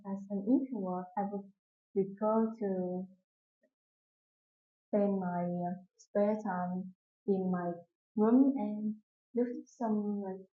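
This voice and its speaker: A young woman speaks slowly and haltingly, pausing as she searches for words while answering speaking-exam questions. Her voice sounds thin and phone-like, with a lot of room echo around it. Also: medium pitch. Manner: hesitant, slow, searching for words. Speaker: exam candidate. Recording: echoey, tinny phone-like sound.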